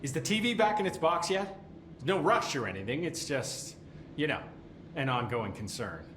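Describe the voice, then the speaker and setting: An actor playing a fussy, deadpan middle-aged keeper of strange items, with dry rambling talk and awkward fillers. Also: male voice, a little high, moderate pace. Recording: echoey game cutscene with faint hiss.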